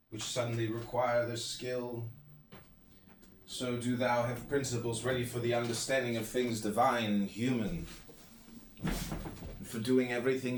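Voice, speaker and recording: Guy w a lowish voice reading some old philosophy book out loud, phrase by phrase with pauses. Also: a little hiss or hum, rough recording. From United States. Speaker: audiobook reader.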